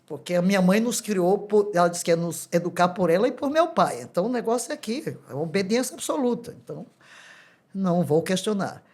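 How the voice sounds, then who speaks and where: Older female pastor, Brazilian Portuguese accent, animated storyteller who breaks into laughter and acts out the voices in her stories. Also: lowish voice, brisk. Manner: animated, laughs often, acts out quoted dialogue. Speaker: pastor, podcast guest. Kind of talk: testimony storytelling.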